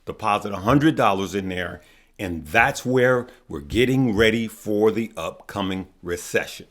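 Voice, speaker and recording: A man's voice: lowish voice, expressive, measured pace, a bit bright. A man hosting a stock market video, losing his voice, who asks questions and then answers them himself and calls his viewers good people. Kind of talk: casual finance talk to viewers.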